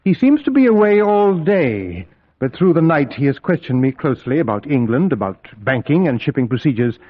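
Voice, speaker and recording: An actor playing an earnest, uneasy young male solicitor in an old-time gothic radio play, measured and theatrical. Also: fairly high pitch, very animated. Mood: musing, uneasy. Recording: tinny, narrow vintage radio recording. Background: music.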